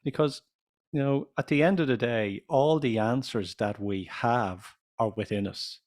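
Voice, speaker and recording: An Irish man in his fifties, a business coach speaking slowly and deliberately as a podcast guest. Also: mid-range voice, a little mellow. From Ireland. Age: 50s. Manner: deliberate, self-assured, slows speech for clarity. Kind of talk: podcast interview.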